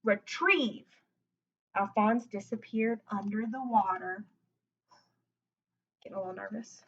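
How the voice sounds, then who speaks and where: A woman's voice: mid-range voice, steady tone, unhurried, a little mellow, muffled recording. A woman reading a children's picture book aloud expressively, breaking off for chatty asides to her listeners.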